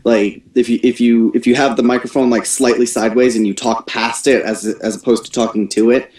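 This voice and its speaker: A man's voice: medium pitch, fairly even intonation, brisk, a little mellow, distant mic. A man chatting casually and giving advice in an online team meeting. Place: online group voice call. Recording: echoey online call audio.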